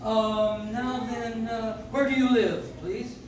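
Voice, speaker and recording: An actor playing a mild, polite, hesitant prosecutor in a stage radio play. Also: male voice, very high voice, flat delivery, takes it slowly. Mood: courteous, amused. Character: mild, courteous assistant district attorney. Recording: echoey, distant, noisy audience-member recording.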